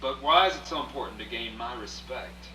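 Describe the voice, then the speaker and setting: A man's voice: medium pitch, a little fast, sharp tone. A man acting in a radio play rehearsal as a plain-spoken, skeptical visitor, casual, blunt and questioning. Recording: roomy, distant, faint hum, old rehearsal tape.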